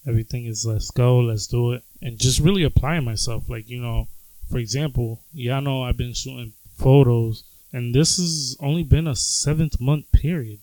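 A man's voice: medium pitch, normal speed, warm tone, no room sound, rough recording. A man on a casual podcast, speaking in a laid-back, confident way with informal slang. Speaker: podcast regular, photographer. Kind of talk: casual chat.

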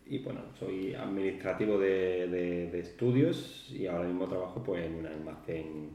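A man's voice: low-pitched, moderate pace, mellow. A man, co-hosting a casual podcast in a jokey, self-mocking way, often restarting his sentences. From Málaga, Spain. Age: 30s. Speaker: podcast co-host. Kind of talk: casual chat. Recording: echoey room.

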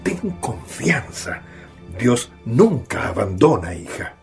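A man's voice: medium pitch, big pitch swings, takes it slowly, darkish tone, faint music behind. A man playing a devoted, tender father in a radio drama, affectionate and coaxing in his delivery. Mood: pleading, emotional.

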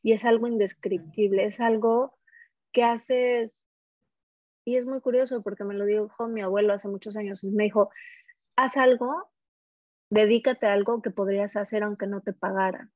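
A woman's voice: mid-range voice, fairly even intonation, normal speed, darkish tone, dry recording. A woman with a Mexican accent, telling personal stories casually and expressively as a podcast guest. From Mexico City, Mexico. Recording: dull lo-fi microphone sound.

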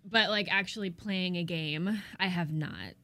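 Young wife guesting on stream, casual and laughing, dry room. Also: female voice, lowish voice, a little slow, sharp tone, dry recording. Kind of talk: casual chat.

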